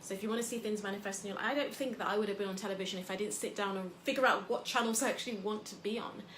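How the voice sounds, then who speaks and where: A woman's voice: mid-range voice, fairly even intonation, racing, a bit bright, reverberant, a little hiss or hum, rough recording. A woman from England hosting a casual motivational talk. She talks in a chatty, rambling way with lots of fillers. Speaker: host.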